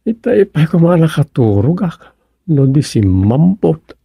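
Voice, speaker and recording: A man playing a weary village chairman in a comic radio drama, with a gravelly voice, an Ilocano accent and sighing exclamations. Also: fairly high pitch, very animated, unhurried, dry recording, highs cut off, exaggerated voice. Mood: exasperated. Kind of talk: radio drama comedy acting.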